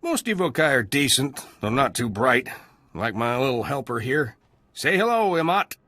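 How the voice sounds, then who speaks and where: A man's voice: mid-range voice, very animated, normal speed, sharp tone, no room sound. A man playing a breezy, cynical salvage dealer in a video game, with casual, wry, chatty delivery. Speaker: salvage trader character.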